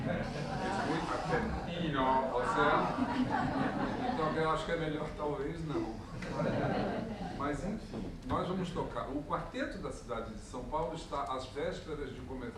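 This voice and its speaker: A man's voice: a little high, moderate pace. Brazilian man joking from a concert stage. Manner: jokey, chatty, thanks the audience often. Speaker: musician introducing a concert. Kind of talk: friendly spoken stage introduction. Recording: echoey hall from far off, audience noise. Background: audience ambience.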